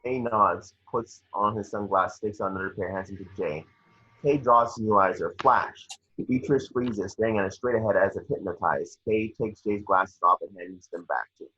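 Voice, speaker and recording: A man's voice: fairly low pitch, moderate pace, sharp tone, slightly rough. A man reading scene descriptions as scripted narration for an amateur table read, on a lo-fi microphone. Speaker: action description reader. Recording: dull, lo-fi microphone sound.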